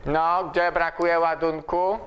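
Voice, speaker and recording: An older man lecturing at a chalkboard in a large hall, pausing often to ask students questions as he writes. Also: a little high, little pitch movement, a bit bright, lo-fi sound, slight background noise. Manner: slow, asks students questions while writing on the board. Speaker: university lecturer.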